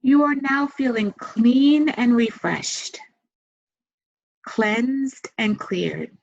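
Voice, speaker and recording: A woman leading a guided meditation, giving gentle, soothing instructions with long pauses. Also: fairly high pitch, creaky. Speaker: meditation guide and massage therapist. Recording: dull, muffled lo-fi sound.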